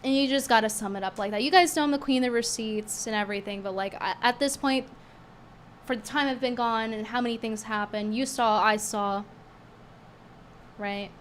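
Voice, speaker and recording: A woman's voice: fairly high pitch, normal speed, slight background noise. A woman streamer chatting bluntly with viewers, her voice strained from a cold and hard to get out. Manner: blunt, scornful and chatty, reading tips aloud. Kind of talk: casual livestream chat.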